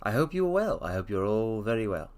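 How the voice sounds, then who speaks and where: A man's voice: lowish voice, sing-song, fast. A man chatting on a live stream in a playful, theatrical manner, addressing his listeners as mortals. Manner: hums softly to himself between phrases. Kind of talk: casual live-stream chat.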